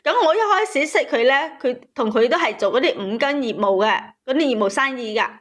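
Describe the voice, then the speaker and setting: A woman's voice: high voice, expressive, brisk, fairly bright tone. A woman calling in to tell a personal story, repeating phrases for emphasis. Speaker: caller telling her story. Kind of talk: emotional personal storytelling to a host.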